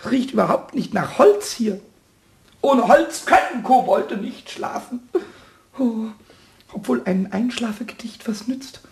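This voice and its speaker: An actor playing a small, childlike male kobold in a German radio play, cheeky, stubborn and excitable with a squeaky voice. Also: measured pace. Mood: sleepy, sulky. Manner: excitable, self-repeating, sing-song when rhyming.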